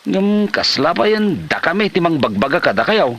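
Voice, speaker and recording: A man's voice: fairly high pitch, big pitch swings, normal speed, fairly bright tone, full character voice. A man playing a grown son who reproaches and pleads with bickering parents, with an Ilocano accent, in a comedy radio drama. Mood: reproachful.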